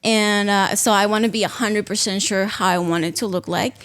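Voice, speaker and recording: Peruvian woman in her thirties, mid-pitched. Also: steady tone, fairly quick, a bit bright. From Peru. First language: Spanish. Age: 30s. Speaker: podcast guest, patient. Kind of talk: casual personal account.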